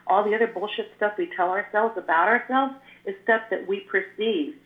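A woman's voice: medium pitch, normal speed. An older woman from California, a spiritual guest talking earnestly in flowing explanations, her voice a little scratchy after the flu. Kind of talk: casual chat. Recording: tinny phone-like line, echoey room.

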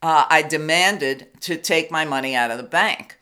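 A woman hosting a radio talk show, with a loud voice, telling stories with emphatic, quick asides. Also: low-pitched, smooth. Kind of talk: casual radio interview.

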